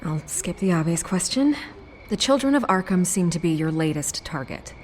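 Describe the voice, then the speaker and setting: An actress playing a shrewd American newspaper reporter in a video game, talking in a wry, dry, casual way. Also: female voice, lowish voice, expressive, normal speed, slight background noise, close to an everyday voice.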